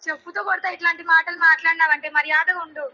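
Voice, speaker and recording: A woman's voice: very high voice, little pitch movement, racing, loud music behind the voice. A young woman, scolding bluntly and confrontationally on a muffled phone call. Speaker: older sister on the phone.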